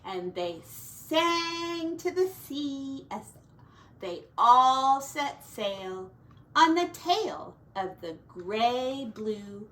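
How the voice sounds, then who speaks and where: A woman's voice: high voice, very animated, extremely slow, a bit bright. A woman teacher reading a picture book aloud to children in a sing-song, dramatic way. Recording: distant mic, faint background noise.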